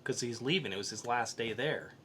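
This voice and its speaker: A man's voice: mid-range voice, brisk, sharp tone, a little hiss or hum. A man hosting a podcast, chatting casually about comics and films. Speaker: podcast host. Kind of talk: casual comics and film review chat.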